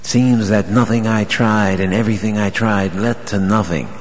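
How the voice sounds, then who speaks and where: A man working as a radio announcer, telling a scripted first-person story in a theatrical, deliberate way. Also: fairly low pitch, steady tone. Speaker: radio board operator and announcer. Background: music.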